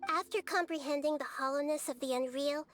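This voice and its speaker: A woman voicing a small, wise, girl-like goddess in a video game, speaking gently and composedly. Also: high-pitched, brisk. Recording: game dub with background music.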